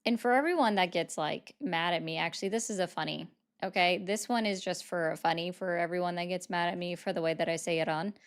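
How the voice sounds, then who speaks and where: A woman's voice: lowish voice, fast, clear, resonant tone. A woman hosting a casual political livestream, chatting with viewers and thanking them for gifts.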